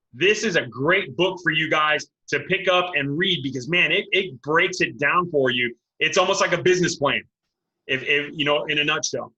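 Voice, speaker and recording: A man hosting a casual interview, speaking earnestly to viewers in a halting, repetitive way with emphatic appeals. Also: on the high side, pushed and bright, muffled recording. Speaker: host, sports recruiting coach.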